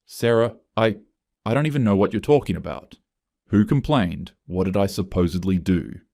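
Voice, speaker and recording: A man acts out a desperate male office worker in a story. His deep voice is agitated and pleading, full of bewilderment at being accused. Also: animated, normal speed, creaky, dark tone, dry recording, studio quality. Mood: bewildered.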